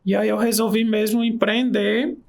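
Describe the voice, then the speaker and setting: A man's voice: sits high, level tone, normal speed, vocal fry, a little mellow. A man, an aromatherapy practitioner, telling his story with enthusiasm in a casual interview. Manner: enthusiastic storytelling, pace shifts. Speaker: aromatherapist and nurse, guest.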